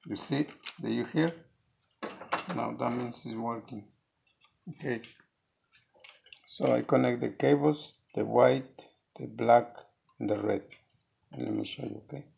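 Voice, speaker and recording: Very creaky-voiced man giving a halting DIY demonstration. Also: mid-range voice. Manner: halting, repeats words while working. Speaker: DIY tutorial presenter. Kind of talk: hands-on how-to demonstration, unscripted. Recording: clear background noise with clicks.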